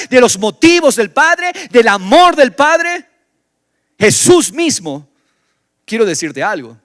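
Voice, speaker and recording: A man from Ecuador preaches in Ecuadorian Spanish, his raised voice leaping through a very lively melody. He moves from loud, ringing exclamations to low, hushed stretches. The sound is dry and close, with little room around it. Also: no echo. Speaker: pastor. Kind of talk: sermon. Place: church.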